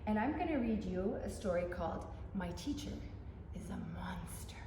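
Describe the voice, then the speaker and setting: A woman storyteller reading a picture book aloud to young children, animated and inviting listeners in with questions, in an echoey room. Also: mid-range voice, normal speed. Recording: echoey reverberant room, faint background noise.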